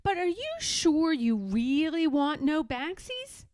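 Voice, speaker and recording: A woman voicing a sly, firm young girl in a storybook, speaking with deliberate emphasis. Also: high voice, very animated, a little mellow, dry recording, clean studio sound, pitched above the performer's own voice, exaggerated voice. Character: young girl, firm, sly, singsong, standing her ground.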